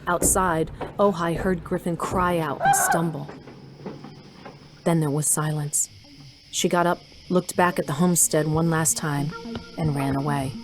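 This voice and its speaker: Female narrator, scripted audio drama storytelling, lowish register, clear music bed. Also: fairly even intonation, unhurried, rough recording.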